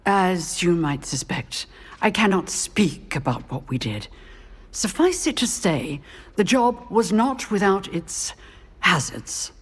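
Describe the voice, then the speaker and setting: A woman's voice: fairly low pitch, expressive, slow, breathy edge, exaggerated voice. An actress playing an older witch professor in a video game, poised, formal and wry, with a British accent. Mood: guarded, wry. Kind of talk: video game character acting.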